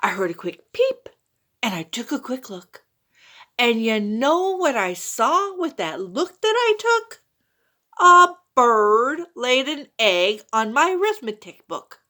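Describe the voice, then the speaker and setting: Woman reading as a schoolboy, stammering, nervous, high voice. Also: big pitch swings, takes it slowly, sharp tone, exaggerated voice. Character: stuttering schoolboy making up excuses.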